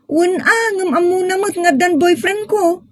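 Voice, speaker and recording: A woman's voice: very high voice, unhurried, no echo, clean studio sound. A woman playing an emotional, lovelorn young daughter with a plaintive manner in a sentimental audio drama. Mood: exasperated.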